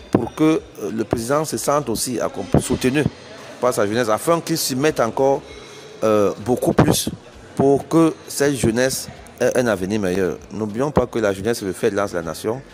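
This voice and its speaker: A middle-aged man from Cameroon whose first language is Bassa, giving an earnest, persuasive spoken statement to reporters, hesitating and restarting often. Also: darkish tone, a little hiss or hum. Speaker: business founder giving a press statement.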